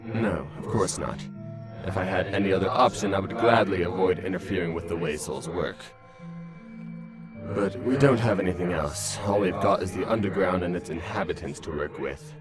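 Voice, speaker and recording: A man playing a grave, resolute monster scientist in a comic dub, speaking in formal, measured, clinical phrases. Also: low voice, natural voice. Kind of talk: dramatic comic dub acting. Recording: prominent music behind voice.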